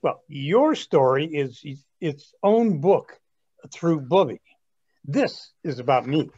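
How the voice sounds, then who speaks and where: A man's voice: a little high, animated, slow, darkish tone, breathy edge, dull audio, full character voice. A young man playing an earnest, self-absorbed twenty-something grandson in a reader's theatre comedy.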